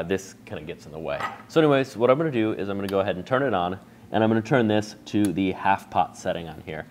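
Guy in his 30s with a low voice, chatty coffee how-to, bright room with faint hum behind. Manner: relaxed, chatty, explaining step by step with fillers. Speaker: coffee shop owner demonstrating a brew recipe. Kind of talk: casual how-to demonstration. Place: bright indoor room with a table.

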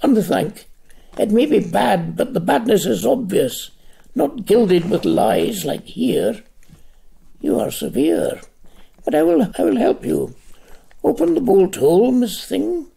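A man's voice: high voice, big pitch swings, creaky, warm tone, slightly rough, pitched above the performer's own voice, heavily acted. An old man voicing a sly scheming chairman. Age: older. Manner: slow, theatrical read-aloud delivery. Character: scheming smooth older male chairman. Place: at home.

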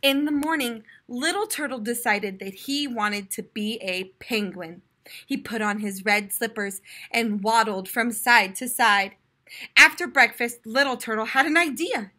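An American woman in her twenties, a teacher reading aloud to young children in an expressive, explanatory way. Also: on the high side, unhurried. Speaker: primary school teacher. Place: classroom, indoors.

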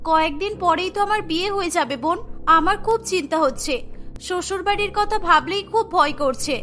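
A woman's voice: very high-pitched, a little fast, fairly bright tone, soft background music. A woman voicing an anxious, pampered young bride-to-be in a cartoon story. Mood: worried.

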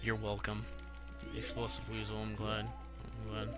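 A young adult man commentating casually on a video game for a live chat, slangy, profane and excitable, often repeating himself. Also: on the low side, measured pace, over loud music. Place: live game stream with game music behind the voice.

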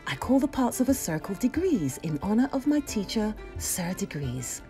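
Earnest young storybook squire boy, played by a woman, eager, mellow tone, animated intonation. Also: mid-range voice, moderate pace, faint music behind, voice pushed up, lightly acted. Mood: explaining.

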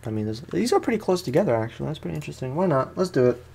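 A man's voice: a little high, big pitch swings, rapid-fire, gravelly, darkish tone, slightly rough. A man giving casual, rambling, slangy commentary as he plays a video game for his viewers. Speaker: gaming streamer. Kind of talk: casual let's play commentary.

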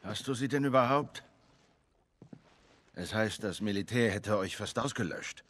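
An actor playing a gruff, distrustful middle-aged male smuggler in a video game, sceptical in manner. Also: lowish voice, a little slow, fairly bright tone. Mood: wary. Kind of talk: dramatic video game acting, German dub.

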